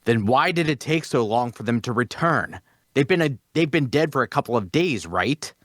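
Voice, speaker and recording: A mid-pitched man acting as a stern, cautious mercenary captain. The delivery is commanding fantasy drama, recorded close and dry. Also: lively intonation, moderate pace, no room sound, close to an everyday voice. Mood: uneasy, questioning. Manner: commanding, questioning. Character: stern, cautious male leader of fantasy adventurers. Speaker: actor in an audio drama table read.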